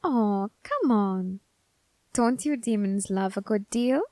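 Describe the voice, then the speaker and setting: A woman's voice: mid-range voice, no room sound, studio quality, natural voice. A woman voicing a teasing, curious reaper. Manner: slow, teasing, softly whispered. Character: curious androgynous grim reaper, teasing and coolly menacing. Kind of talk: ASMR audio roleplay.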